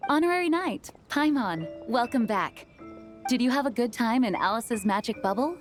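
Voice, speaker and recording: A woman's voice: fairly high pitch, animated, normal speed, soft background music, natural voice. A woman playing a poised, modest, dutiful young knight commander in a video game, courteous and composed. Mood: welcoming. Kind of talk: video game character acting.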